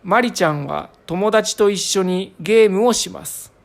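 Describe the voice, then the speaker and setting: A woman's voice: mid-range voice, very slow, gravelly. A woman who is a native Japanese speaker, reading a short comprehension text aloud with care for learners. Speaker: reader of lesson passages.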